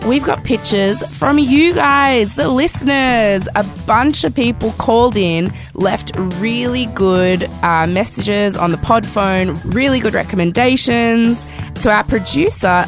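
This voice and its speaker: An Australian woman chats away as a podcast host, hoarse and croaky from a cold, her voice sometimes cracking. She talks with chatty enthusiasm at a mid pitch, recorded in a studio. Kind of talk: casual podcast chat.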